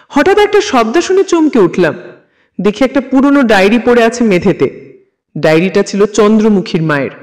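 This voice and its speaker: A man narrating a scripted first-person horror story in a measured, literary storytelling manner. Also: very high-pitched.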